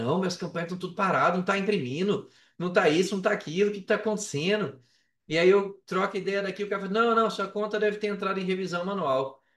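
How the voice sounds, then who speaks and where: A Brazilian man with a high voice tells a story in loose, slangy talk, slipping into quoted dialogue as he goes. He sits back from the mic in an echoey room, so the sound is roomy and a little distant. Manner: colloquial, slangy, re-enacts dialogue. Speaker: podcast guest, affiliate marketer. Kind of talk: animated casual storytelling.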